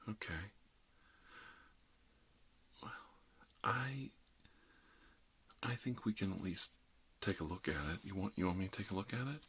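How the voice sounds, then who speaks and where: A man playing a kindly older doctor in an ASMR role-play, speaking softly in an unhurried, hesitant, reassuring murmur. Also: low voice, slightly rough, full character voice. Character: kindly older male doctor doing emergency dental work.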